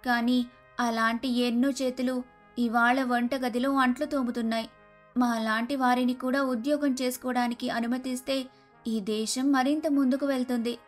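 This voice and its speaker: A woman playing an outspoken, principled young daughter-in-law in a Telugu animated moral story, speaking in an earnest, preachy way. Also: sits high, moderate pace, natural voice. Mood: earnest, lecturing. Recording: music under the voice.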